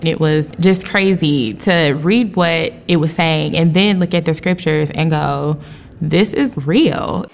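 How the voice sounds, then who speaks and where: A woman's voice: sits low, takes it slowly, creaky. A woman with an American accent giving a casual testimony in an emphatic, colloquial way. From United States.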